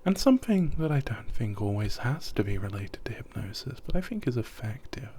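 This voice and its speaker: A man guiding a sleep hypnosis, talking softly and often half in a whisper, rambling and repeating himself. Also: mid-range voice, sing-song, a little fast, husky.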